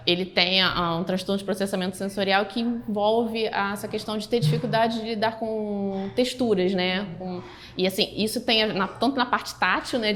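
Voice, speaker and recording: A woman's voice: medium pitch, a bit bright. Hesitant Brazilian woman, faint background noise. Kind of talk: spontaneous interview testimonial.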